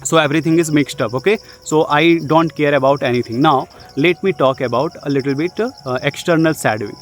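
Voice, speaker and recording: A young man with an Indian accent teaches spoken English in a casual, earnest way. His voice sits a little high for a man, and he keeps checking in with his listeners, pausing on filler sounds as he goes. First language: Jharkhandi language. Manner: earnest, repetitive, frequent "okay?" check-ins and "uh" fillers.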